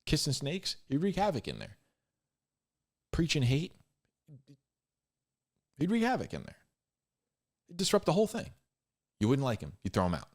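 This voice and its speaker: A man giving informal, off-the-cuff Bible commentary in a conversational, halting way, repeating phrases for emphasis. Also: a little high, huge pitch swings, a little mellow. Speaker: Bible storyteller and commentator, host.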